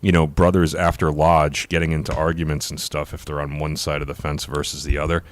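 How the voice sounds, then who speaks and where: A man's voice: rumbling low, flat delivery, fairly quick. A man co-hosting a casual podcast chat, agreeable and reflective, often backing up his co-host.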